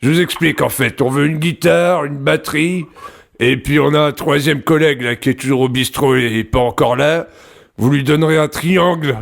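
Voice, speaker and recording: A man puts on an earnest building-site worker on a prank call. His voice is very gravelly and sits a little high, and he rambles hesitantly and pesters. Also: normal speed. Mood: insistent. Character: earnest rambling male construction worker starting a bar rock band. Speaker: prank caller. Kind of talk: improvised prank phone call.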